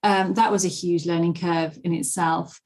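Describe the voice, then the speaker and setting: A woman's voice: lowish voice, steady tone, normal speed, a little mellow. A middle-aged woman who teaches pronunciation, chatting as a podcast guest with many restarts. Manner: chatty, frequent restarts and fillers. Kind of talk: conversational podcast interview.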